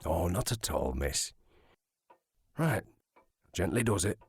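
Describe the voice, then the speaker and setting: An actor playing a kindly male servant in a period audio drama, husky, gentle and deferential in his reassurance. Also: low voice, big pitch swings, slow, exaggerated voice.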